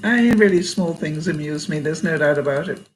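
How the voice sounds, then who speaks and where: An older woman with a Canadian accent and a hoarse, fading voice reads jokes aloud in a casual vlog and laughs often at them. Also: sits low, expressive, moderate pace, slight background noise. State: hoarse, losing her voice. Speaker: vlogger.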